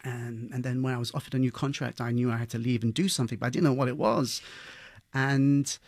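A man's voice: mid-range voice, steady tone, fast, a little mellow, no room sound. A man from London with a British accent, talking conversationally about personal experience as an interview guest. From London, England.

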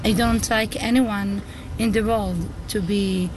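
A woman in her thirties with an Israeli accent, a native Hebrew speaker, answering an interview in halting English as she searches for words. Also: mid-range voice, fairly even intonation. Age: 30s. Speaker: interviewee, local settler. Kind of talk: impromptu interview. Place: outdoors near a spring. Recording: outdoor interview with clear vehicle noise.

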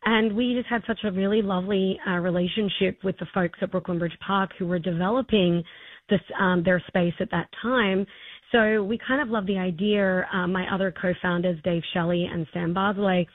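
A woman's voice: medium pitch, steady tone, moderate pace, no echo. Australian woman on a tinny line. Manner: enthusiastic, rambling with restarts. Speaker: festival co-founder, guest. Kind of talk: casual interview answers. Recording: dry, tinny phone-like line.

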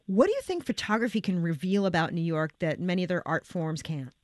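A woman hosting a radio interview, reading introductions and asking questions in a measured way. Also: on the low side, sing-song, no echo, studio quality. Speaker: radio show host.

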